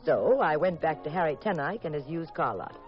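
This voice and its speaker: Sardonic detective's wife, playful and arch, muffled old 50s radio show. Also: female voice, deep voice, lively intonation, fairly quick, faint music behind. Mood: wry narration. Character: sharp, teasing wife of a detective. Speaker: lead actress and narrator. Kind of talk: 1950s radio detective drama acting. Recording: muffled vintage radio recording.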